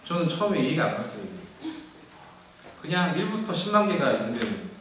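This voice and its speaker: A young man giving a technical keynote at a conference, speaking in a measured way with frequent fillers and restarts. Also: a little high, big pitch swings, a bit bright, a little hiss or hum. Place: large dark auditorium. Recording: echoey hall from far off.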